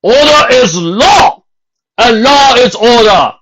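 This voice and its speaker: A man voicing a cruel male pony legion captain who booms commands slowly and theatrically. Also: lively intonation, fairly bright tone, a little hiss or hum, full character voice. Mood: commanding. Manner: booming, commanding, slow and theatrical. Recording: dull lo-fi home recording.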